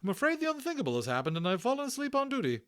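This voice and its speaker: A hearty British hospital matron from a children's storybook. The voice is pitched very high and swoops wildly from word to word. She is bustling, chatty and self-assured, gushing in brisk exclamations. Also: huge pitch swings, fairly bright tone, clean tone, full character voice. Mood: brisk, fond.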